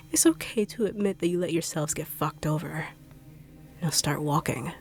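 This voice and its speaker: A woman voicing a smug, cruel female treasure hunter who taunts in slow, purring tones with whispered asides. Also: low-pitched, darkish tone. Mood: taunting. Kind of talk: audio roleplay drama.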